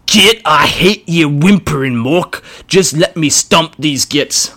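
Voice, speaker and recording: A man voicing a huge, angry male orc boss who speaks slowly in gruff orc slang. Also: on the high side, pushed and bright, voice pushed up, exaggerated voice.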